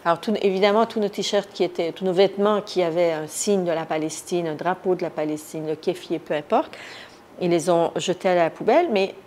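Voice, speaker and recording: A woman from Iran giving a spontaneous first-person account in an interview, often pausing to search for words. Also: lowish voice, a little mellow. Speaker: interviewee, activist recounting her detention. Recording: noticeable background noise.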